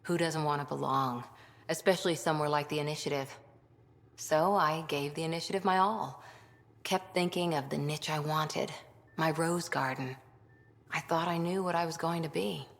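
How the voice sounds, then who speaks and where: An actress playing an earnest former commando who longs to belong, frank and reflective in short clipped phrases, in a video game. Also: female voice, deep voice, steady tone, normal speed, creaky. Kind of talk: video game dialogue acting. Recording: long reverberant hall sound.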